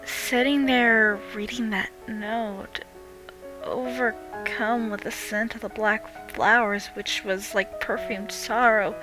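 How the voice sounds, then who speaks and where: A woman's voice: on the high side, a bit bright, low-quality recording. Young woman narrating horror over music. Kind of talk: dramatic first-person scripted narration.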